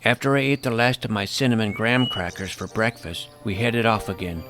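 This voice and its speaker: A man's voice: lowish voice, fairly quick, music in the background. A man reading scripted first-person narration for a fantasy audio story.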